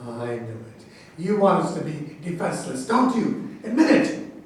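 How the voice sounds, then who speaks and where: A man's voice: a little high, sing-song, normal speed, far from the mic, a little hiss or hum. A man playing a self-important, argumentative military officer and professor in a staged play reading. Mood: combative. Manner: argumentative, grandiose. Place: echoey room at a staged reading. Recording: very echoey room recorded from afar.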